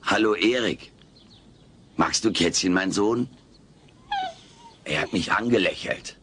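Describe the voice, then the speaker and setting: An actor playing a cheeky, childlike furry alien with drawling wisecracks in a German sitcom audio drama. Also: male voice, low voice, slow pace, a bit bright, slight background noise, heavily acted. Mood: wry, friendly. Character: wisecracking furry male alien, over 200, childlike and tender.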